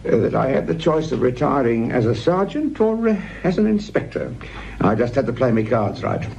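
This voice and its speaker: Veteran British sergeant, male, near retirement. Sardonic, fatherly, very lively intonation; muffled, noisy radio-drama recording. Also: mid-range voice, moderate pace, creaky. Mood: wry, bitter. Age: older, near retirement. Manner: sardonic, world-weary, paternal to his junior. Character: weary, wry veteran police sergeant, near retirement, cynical. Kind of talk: naturalistic radio drama acting.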